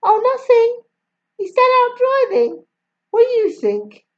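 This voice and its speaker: A woman's voice: high-pitched, very animated, unhurried, lo-fi sound, pitched above the performer's own voice, full character voice. A woman voicing a firm, insistent young girl in a story reading.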